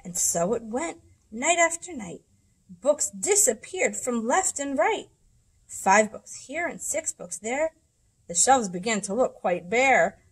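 A woman in her thirties reading rhyming picture books aloud to children, with dramatic, deliberate storybook phrasing. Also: fairly high pitch, sing-song, breathy edge, low-quality recording. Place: indoors in a library room.

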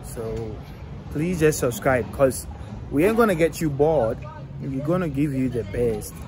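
A young man films himself on a phone held out at arm's length as he walks a town street. He talks slowly and casually to his viewers. Clear traffic noise runs behind him. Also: medium pitch, lively intonation. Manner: chatty, repeats shout-outs and asks viewers to subscribe. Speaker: travel vlogger. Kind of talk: casual walking-tour vlog. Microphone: phone camera held at arm's length.

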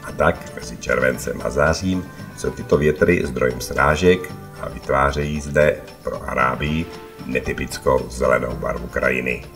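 Very deep male travelogue narrator over music. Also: gravelly.

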